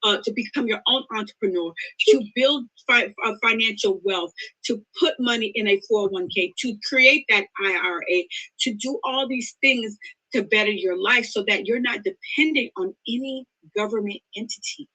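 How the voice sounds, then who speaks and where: A woman's voice: on the high side, moderate pace, sharp tone, poor audio. A woman in her fifties, a podcast guest, talking earnestly and with emphasis in an interview. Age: 50s. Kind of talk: interview conversation.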